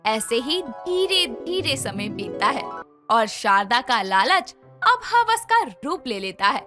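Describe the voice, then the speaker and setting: A woman narrating a cartoon story in a scripted, expressive way. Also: a little high, slow pace, faint music behind.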